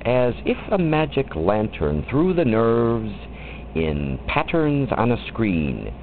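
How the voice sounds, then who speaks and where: A man reciting poetry in an expressive, theatrical and deliberate manner, drawing out his phrases. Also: medium pitch. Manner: theatrical, deliberate, with wide swoops and drawn-out phrases. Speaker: poetry reader. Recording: muffled lo-fi recording with faint background noise.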